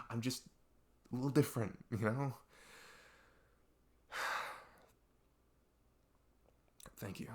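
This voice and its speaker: Casting: male actor as earnest best friend for intimate audio roleplay; lowish, crackly voice, casual halting delivery, confiding straight to the listener. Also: gravelly. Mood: grateful, vulnerable. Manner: rambling, halting, filler-heavy, laughs self-consciously. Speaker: ASMR audio roleplay performer. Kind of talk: casual improvised-sounding roleplay monologue addressed to the listener.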